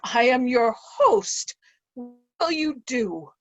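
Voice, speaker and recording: Lady acting tormented old nobleman, shakespeare play, super high voice, drawn out and slowish. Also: lively intonation, faint music behind, heavily acted. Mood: indignant. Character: old nobleman Gloucester, tortured, blinded, anguished. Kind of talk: Shakespearean readers' theatre.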